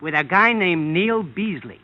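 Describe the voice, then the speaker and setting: A man's voice: high voice, expressive, a little slow. A man with an American accent playing a blunt, exasperated showbiz agent in an old-time radio drama, slangy and complaining. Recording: muffled vintage radio recording.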